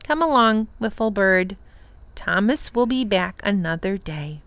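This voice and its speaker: A woman's voice: mid-range voice, lively intonation, a little slow, fairly bright tone, no echo. Give me a woman voicing a wise, soothing guardian from a storybook. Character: wise calm guardian figure, gentle.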